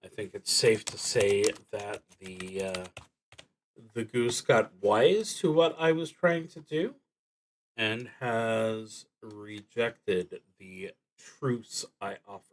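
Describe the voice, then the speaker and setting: A man thinking aloud through a solo tabletop roleplaying game, reading prompts and musing hesitantly with long, thoughtful pauses. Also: lowish voice.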